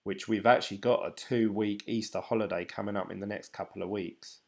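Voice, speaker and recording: A British man in his thirties, a channel host, chatting casually and hesitantly to viewers on a late-night live stream, tired and a little croaky. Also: on the low side, steady tone, fairly quick, darkish tone. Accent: British English. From United Kingdom. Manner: rambling, hesitant, many ums and restarts. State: tired, voice getting croaky and dry. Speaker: crypto channel host reading live chat.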